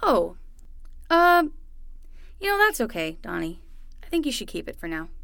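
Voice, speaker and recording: A woman's voice: medium pitch, big pitch swings, normal speed, vocal fry, bright, forceful tone, no echo, studio quality, exaggerated voice. A woman playing a playful, teasing teenage girl babysitter in a fiction podcast. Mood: gentle teasing.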